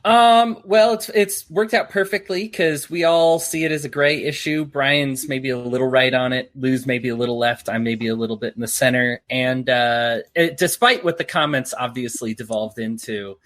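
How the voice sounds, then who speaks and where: This is a male podcast co-host who works as a writer and explains in a steady, upbeat manner. His voice has a medium pitch. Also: moderate pace, hardly any pauses. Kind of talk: casual chat.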